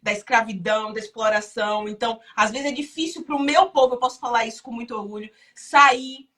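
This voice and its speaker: A woman's voice: on the high side, a little fast, pushed and bright. A woman from Salvador, hosting a casual live chat in an exuberant, chatty, proud way. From Salvador, Bahia, Brazil. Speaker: live stream host. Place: indoors in Chicago.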